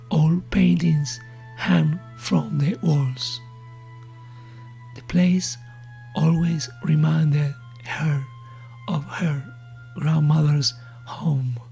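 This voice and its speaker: A woman narrating a scripted horror story in a deliberate, suspenseful way with a creaky voice. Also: low-pitched, dark tone.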